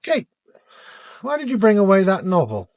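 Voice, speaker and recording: Reserved police detective, dry studio. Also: male voice, high-pitched, huge pitch swings, moderate pace, dry recording, muffled recording, heavily acted. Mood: thoughtful. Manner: reserved, understated, questioning. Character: measured, probing male senior police detective. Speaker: actor in a radio murder mystery. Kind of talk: British radio drama acting.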